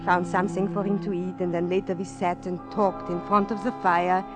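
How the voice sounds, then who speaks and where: An actress playing a lonely, middle-aged widowed innkeeper, gentle and formal, in a 1940s radio drama. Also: female voice, fairly low pitch, level tone, fairly quick, over music, lightly acted. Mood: narrating, puzzled. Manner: wistful, formal, careful phrasing.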